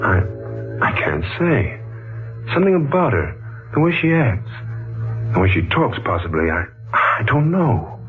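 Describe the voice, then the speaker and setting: Courteous, expressive old-radio gentleman. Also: medium pitch, measured pace, long pauses. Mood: wondering, hesitant. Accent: American. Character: courteous, enigmatic man haunted by vague recognition. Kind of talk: 1940s radio acting. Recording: muffled 1940s radio recording, music behind.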